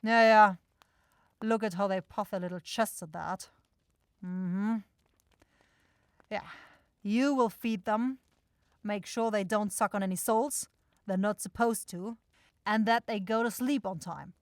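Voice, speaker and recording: A woman's voice: medium pitch, unhurried, pauses often, no echo, lightly acted. Casting: woman voicing a demon woman supervisor, brusque, exasperated, sarcastic; fantasy roleplay, tight dry recording. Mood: brisk, instructing. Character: bossy, grumbling demon woman shopkeeper, sweary supervisor. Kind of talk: fantasy audio roleplay acting.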